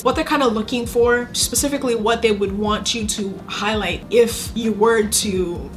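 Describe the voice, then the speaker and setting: A young woman from Zimbabwe who makes videos, giving casual advice in a chatty, emphatic way with lots of fillers. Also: mid-range voice. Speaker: content creator. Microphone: phone camera.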